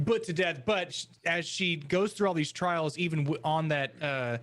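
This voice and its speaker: Male panelist, slightly high voice, halting, thoughtful, conversational. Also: fairly bright tone. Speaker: podcast panelist. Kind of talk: casual chat.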